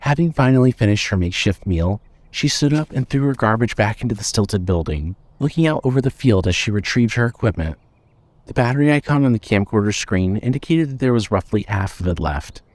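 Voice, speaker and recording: A man's voice: on the low side, lively intonation, fairly quick, a little mellow, no room sound. A man reading scripted fiction as the narrator of an audio story.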